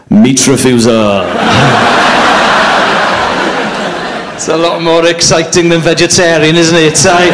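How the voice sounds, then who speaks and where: A Welsh man whose first language is Welsh, doing a stand-up comedy set on a club stage in a deadpan storytelling manner, jumping into shrill voices when he quotes people. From Wales. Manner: deadpan storytelling with sudden high-pitched acted quotes. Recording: live club recording with audience noise.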